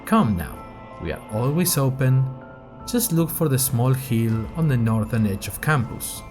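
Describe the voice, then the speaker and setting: A man playing a persuasive secret-brotherhood recruiter in a parody advertisement, mock-grave like a pitchman. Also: very animated, unhurried, music in the background. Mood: persuasive, mock-solemn.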